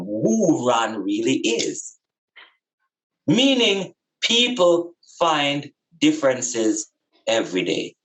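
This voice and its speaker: A man's voice: mid-range voice, a bit bright, rough recording. A man with a Jamaican accent giving a reflective spiritual talk in an emphatic, preaching manner, with repeated phrases and rhetorical questions.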